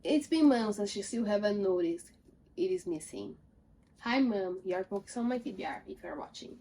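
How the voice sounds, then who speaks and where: Young woman, English, casual book vlogger, medium pitch, light background noise. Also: lively intonation, moderate pace, mellow, poor audio. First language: not English. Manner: chatty, listing titles, self-deprecating asides. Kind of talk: casual book haul chat.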